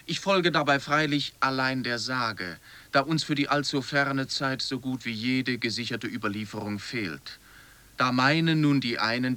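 A man's voice: medium pitch, sharp tone. A man reciting a scripted chronicle in a formal, literary manner for radio.

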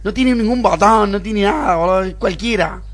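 A man's voice: high voice, moderate pace, lightly acted. A man playing a spoiled, impatient young boss with an affected upper-class Buenos Aires drawl, whiny and dismissive, in an amateur radio play. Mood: scornful. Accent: Argentine, Buenos Aires. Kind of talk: amateur radio drama acting.

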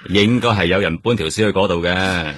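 A man acting a gruff, wry veteran policeman in a radio drama, offhand and teasing, with a Hong Kong Cantonese accent. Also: sits low, brisk, fairly bright tone. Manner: offhand, teasing, slangy, sighing.

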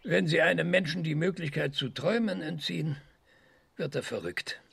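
A man playing a self-assured research scientist in a radio drama, lecturing emphatically with dramatic pauses. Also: fairly high pitch, expressive, vocal fry, a bit bright, breathy edge, dry recording, full character voice. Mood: enthusiastic, lecturing. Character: excitable, self-assured male research scientist giving an interview.

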